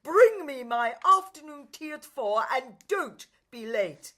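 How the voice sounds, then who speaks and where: Woman as a bossy stepmother, sharp voice. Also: high voice, very animated, takes it slowly, exaggerated voice. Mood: commanding. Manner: imperious, stern. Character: bossy, scolding stepmother, middle-aged woman. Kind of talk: children's musical radio play.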